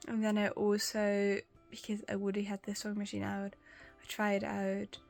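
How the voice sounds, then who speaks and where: A young woman, German by first language, chats in English to her camera in a loose, rambling way, often restarting her sentences and laughing softly. Her pitch sits in the middle of the range. Gentle music plays faintly underneath. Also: monotone, normal speed, gravelly. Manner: chatty, with frequent restarts and small laughs. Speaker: language-learning vlogger. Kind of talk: casual vlog talk to camera.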